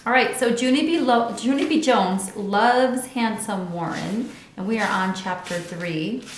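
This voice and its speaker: A woman's voice: mid-range voice, measured pace, a little hiss or hum. Woman reading to children, echoey room. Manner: chatty and informal, addressing young listeners. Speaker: read-aloud host. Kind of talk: children's book read-aloud with casual asides.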